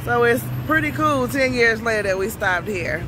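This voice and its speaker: A woman's voice: fairly high pitch, lively intonation, moderate pace, background noise. A woman with a Southern US accent chatting casually to viewers in an informal travel vlog, recorded on a phone at arm's length. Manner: informal, addressing viewers as y'all. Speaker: vlogger.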